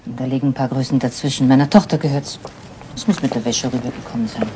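A woman's voice: extremely low pitch, steady tone, quick pace. An actress playing a trusting, subdued mother who runs a business, speaking with restraint in a radio crime drama. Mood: matter-of-fact. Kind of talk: 1970s German radio crime drama acting. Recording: very noisy old radio play recording.

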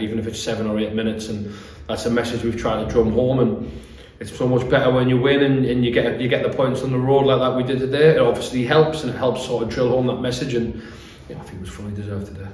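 A man's voice: mid-range voice, level tone, fast, a little mellow. British man in a very echoey room. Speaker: football manager. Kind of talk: post-match interview. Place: small bare-walled room indoors. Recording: echoey room, distant mic, faint noise.

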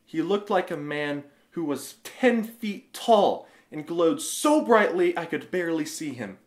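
A man's voice: on the high side, expressive, unhurried. A man playing a zealous, newly converted young Roman husband in an audio drama, earnest and emphatic. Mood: awed, sheepish.

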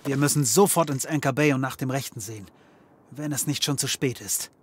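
An actor playing a cocky, scheming occult detective in a comedy audio drama, wry and theatrical. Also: male voice, mid-range voice, fairly quick, husky, lightly acted. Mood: grave, urgent. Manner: wry, theatrical, mock-patronising.

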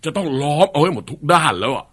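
A jumpy young bandit sidekick chatters at his boss in a fast, bright, lively voice, as a man plays him. He is excitable and eager to please. It is a radio-style drama. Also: on the high side. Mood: nervous. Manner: excitable, deferential, quick-talking.

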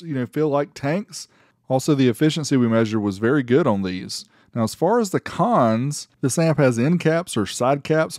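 A man's voice: mid-range voice, darkish tone, no room sound, studio quality. A man giving a casual, informal product review voice-over as the host, with a folksy way of talking. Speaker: host reviewing car audio amplifiers.

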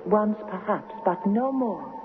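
An actress playing a proud, lovestruck teenage baroness in a radio drama, ardent, formal and poised. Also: female voice, mid-range voice, expressive, slow, in a large hall. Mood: devoted, resolute. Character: devoted seventeen-year-old baroness in love, proud. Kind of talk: 1970s radio drama acting. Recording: tinny, very noisy 1970s radio broadcast.

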